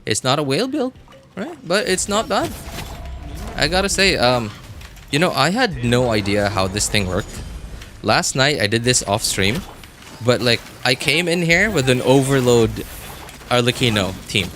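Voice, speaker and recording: A man's voice: medium pitch, sing-song, unhurried, fairly bright tone, slight background noise. A man livestreaming a game, chatting casually with viewers and thinking aloud in a rambling way. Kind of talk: casual gaming stream chat with viewers.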